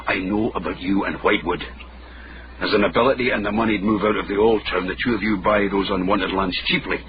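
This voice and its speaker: An actor playing a shrewd, defiant Edinburgh caddy in a period radio drama, with a Scottish accent and a sardonic, accusing manner. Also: male voice, fairly low pitch, steady tone, brisk, close to an everyday voice. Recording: muffled, noisy old radio drama recording.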